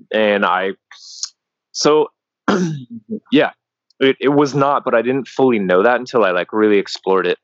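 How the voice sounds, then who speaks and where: A man's voice: fairly low pitch, a bit bright, no room sound, highs cut off. A man in his early thirties from Colorado, a podcast guest chatting casually in a laid-back way, full of fillers and restarts and calling the host "man". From Colorado, USA. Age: early 30s. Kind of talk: casual interview chat.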